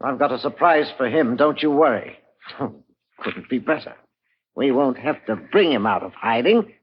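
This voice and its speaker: A man's voice: mid-range voice, expressive, moderate pace, husky. An actor playing a spiteful villainous sheriff in an old-time radio drama, sneering and drawing out his taunts with relish. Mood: gloating menace. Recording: tinny, band-limited old radio recording.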